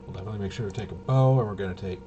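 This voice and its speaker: Low-voiced man rambling through a game walkthrough. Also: very fast, lo-fi sound, background noise. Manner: rambling, muttering to himself, playful interjections. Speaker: host of a tabletop RPG channel. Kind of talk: casual thinking-aloud game walkthrough.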